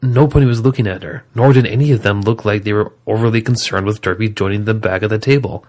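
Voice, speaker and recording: A man's voice: fairly low pitch, fairly even intonation, fast, darkish tone. A man reading scripted story narration as the narrator of an audio drama.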